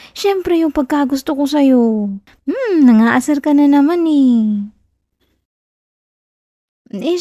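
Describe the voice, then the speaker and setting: A woman playing a shy, flustered young girlfriend in an intimate ASMR roleplay, speaking softly and teasingly with a Filipino accent. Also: fairly high pitch, dry recording, studio quality. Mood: bashful teasing. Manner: soft, intimate, bashful, playfully whiny. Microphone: close, dry ASMR microphone.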